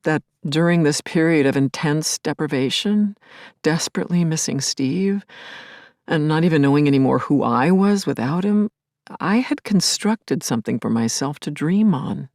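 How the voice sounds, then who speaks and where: An actress playing a grieving, lovelorn middle-aged therapist in an intimate audio play monologue, confiding and wry, lingering on words and dropping to murmurs. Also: female voice, sits low, expressive, normal speed, darkish tone, dry recording, clean studio sound. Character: grieving, lovelorn woman therapist, self-aware and yearning. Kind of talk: intimate first-person dramatic monologue.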